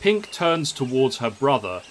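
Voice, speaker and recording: A man's voice: mid-range voice, big pitch swings, measured pace, slight background noise. A man reading scripted story narration. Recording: music under the voice.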